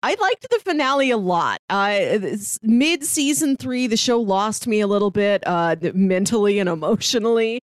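A woman's voice: mid-range voice, animated, normal speed, few pauses, no echo. A woman with a mid-range voice speaks as a podcast co-host in a casual, conversational review style. The sound is dry, with very little room around her voice. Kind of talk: casual chat reviewing a TV series.

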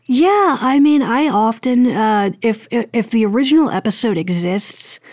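A woman's voice: fairly high pitch, lively intonation, a little slow, a little mellow, no echo, clean studio sound. A woman speaking casually as an interview guest, thinking aloud in a hesitant, rambling way. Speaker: guest, audio drama producer and voice actor.

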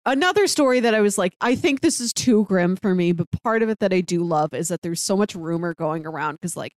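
A woman's voice: on the low side, expressive, brisk, a little mellow, dry recording, clean studio sound. A woman co-hosting a podcast, discussing stories in a casual, conversational way. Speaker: podcast co-host.

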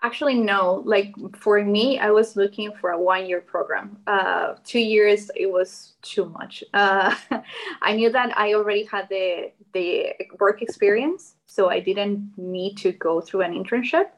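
A woman's voice: mid-range voice, measured pace, rough recording. Friendly Peruvian woman, alumni guest. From Peru. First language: Spanish. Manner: friendly, laughs lightly, hesitant fillers. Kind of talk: casual chat.

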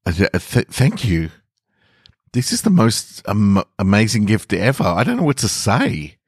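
A man's voice: on the low side, huge pitch swings, measured pace, husky, dry recording, clean studio sound, pitched above the performer's own voice, full character voice. A man reading a first-person story as a shy, lovestruck young man, awkward and awestruck. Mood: flustered.

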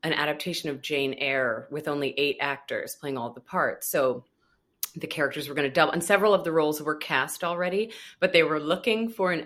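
A woman's voice: sits low, a bit bright. A woman in her thirties, a guest actor, telling a story in a casual interview and acting out her own past thoughts.

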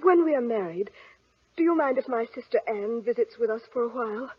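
An actress playing an innocent, anxious young bride with an earnest, melodramatic delivery in an old-time radio drama. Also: female voice, fairly high pitch, expressive, a little fast, slightly rough. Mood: timid, hesitant. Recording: noisy, muffled old radio recording.

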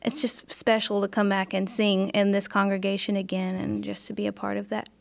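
A woman, a missionary's wife, speaking softly and reflectively in an interview. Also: mid-range voice, level tone, fairly quick, gravelly. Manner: soft, reflective, gentle. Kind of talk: interview conversation.

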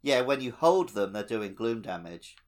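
A man's voice: on the low side, sing-song, normal speed. A man in casual gaming podcast chat, informal and musing, as if telling anecdotes between friends. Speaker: podcast co-host; teacher.

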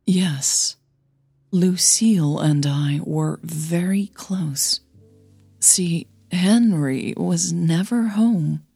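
A woman playing a cool, guarded older female coach, measured and reluctant, in an audio drama. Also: on the low side, creaky, dark tone, slightly rough, dry recording, clean studio sound, soft background music, exaggerated voice. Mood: confessing. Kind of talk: audio fiction dialogue.

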